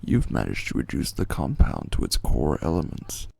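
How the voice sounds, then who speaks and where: A man playing a grim, brooding masked vigilante detective in a video game fan dub, speaking tersely and deliberately in a deep, gravelly voice. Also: wildly swooping pitch, mellow, soft background music, heavily acted. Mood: determined.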